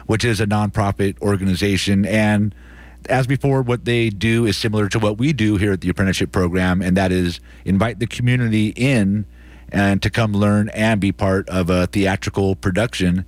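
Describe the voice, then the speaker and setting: An American man hosts a community radio show in a casual, conversational manner. His voice sits on the low side, and the sound is dry, with very little room in it. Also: fairly even intonation, normal speed, no echo. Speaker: radio host and interviewer. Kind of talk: live community radio presenting.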